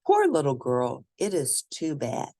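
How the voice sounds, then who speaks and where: A woman's voice: rumbling low, wildly swooping pitch, unhurried, background noise, full character voice. A woman voicing a kind caregiver in a children's storybook, tender and comforting. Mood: pitying.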